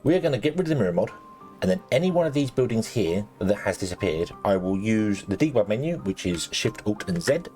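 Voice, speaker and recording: A man commentating his gameplay casually, rambling with lots of ums and restarts, and a little croaky as he loses his voice. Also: lowish voice, lively intonation, a little fast, darkish tone, faint music behind. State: slightly croaky, losing his voice. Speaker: let's play host.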